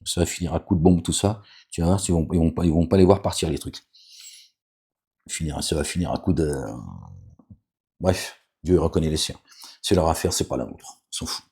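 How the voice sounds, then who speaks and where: A man with an extremely low, deep voice preaches in an offhand, rambling way. He keeps breaking off with fillers and asides to the people listening. Between readings he admonishes them with stern warnings. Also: steady tone, a little fast, long pauses, warm tone. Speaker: religious commentator reading scripture. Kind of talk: informal spoken sermon with scripture reading.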